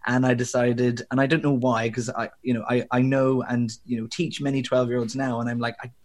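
A man's voice: mid-range voice, flat delivery, fairly quick. A young man from Northern Ireland with a faint, diluted Northern Irish accent, a theatre director talking reflectively in an interview, with plenty of fillers and restarts. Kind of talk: conversational interview.

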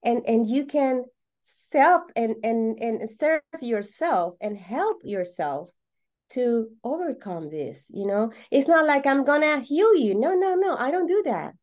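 A woman from Venezuela with a Venezuelan Spanish accent explains things as an interview guest, repeating words for emphasis in halting English. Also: a little high, animated, a little mellow. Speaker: podcast guest, holistic coach.

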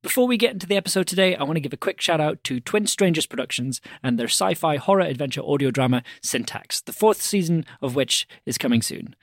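A male podcast host gives a scripted promo in a fairly high voice, very dry and close. He sounds like he is personally recommending something he enjoys, with enthusiasm. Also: no echo.

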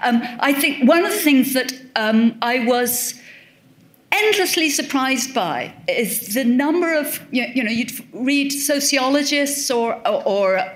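A woman author answering audience questions at a live talk, thoughtful and wry, often correcting herself as she goes. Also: sits high. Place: event hall with audience.

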